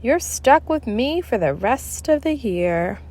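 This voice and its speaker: Woman acting an exasperated, high-pitched lady schoolteacher. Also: big pitch swings, slow pace, a little mellow. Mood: teasing. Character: exasperated adult female schoolteacher, scolding and teasing. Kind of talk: children's storybook character voice.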